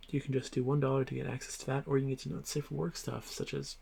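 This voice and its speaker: Male roleplay creator, casual announcement read, stop-and-start informal delivery, mid-pitched with creaky edges. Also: little pitch movement, fast talker, a little mellow. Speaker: audio roleplay creator thanking patrons. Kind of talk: casual channel shoutouts.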